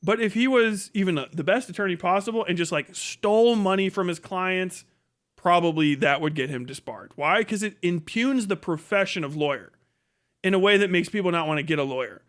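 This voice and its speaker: A man's voice: high voice, a little fast, fairly bright tone. A man giving casual livestream commentary in a blunt, profane, rambling way. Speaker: livestream host.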